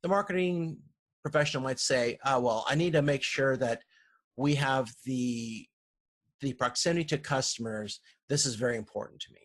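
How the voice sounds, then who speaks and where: A man lecturing informally over slides, explaining in a halting way and often correcting himself. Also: medium pitch, fairly bright tone. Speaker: university lecturer.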